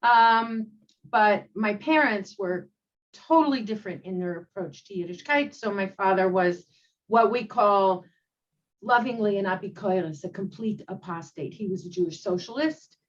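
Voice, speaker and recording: A woman's voice: medium pitch, normal speed. Woman rabbi on a muffled video call. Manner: reflective, conversational, many ums. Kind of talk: personal storytelling on a panel. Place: home room.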